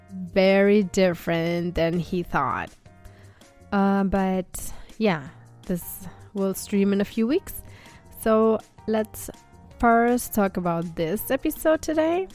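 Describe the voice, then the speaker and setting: Slow-talking woman hosting a podcast. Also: mid-range voice, clear, resonant tone. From Germany. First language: German. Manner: relaxed and chatty, with frequent fillers. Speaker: podcast host. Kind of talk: casual podcast interview. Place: home in Texas, on a call.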